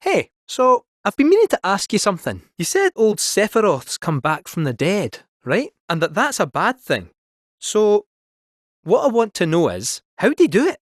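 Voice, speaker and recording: Casting: small talking robot cat, Scottish accent, jaunty and teasing, high voice, hugely animated game dialogue. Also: male voice, moderate pace, dry recording, studio quality, heavily acted. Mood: curious. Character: small cheeky talking robot cat, male, chatty and theatrical.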